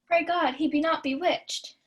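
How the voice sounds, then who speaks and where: A woman's voice: sits high, fairly even intonation, moderate pace, close to an everyday voice. A young woman playing a sly prankster feigning pious worry in a radio-style Shakespeare comedy. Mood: feigned alarm. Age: young adult. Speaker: student actor. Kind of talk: 1940s radio play Shakespeare acting.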